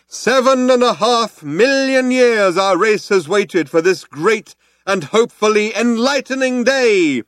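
A man voicing a fervent orator who proclaims grandly to a crowd in drawn-out declamation, as an audiobook character. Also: high-pitched, animated, fairly bright tone, no echo, clean studio sound, pitched above the performer's own voice. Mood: exultant.

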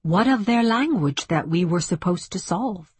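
A woman voicing a calm, puzzled male colleague in a science fiction story, with soft, murmured questions. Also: fairly low pitch, moderate pace, husky, no room sound. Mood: calm, questioning.

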